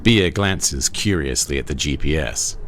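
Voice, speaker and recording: Very deep-voiced male narrator. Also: lively intonation, a little slow, fairly bright tone, a little hiss or hum. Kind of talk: scripted screenplay narration.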